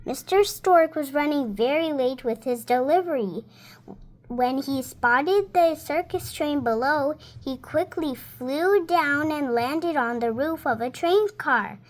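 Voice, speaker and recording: Slow, high-pitched little girl reading aloud. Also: lively intonation. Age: child, about seven. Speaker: young reader.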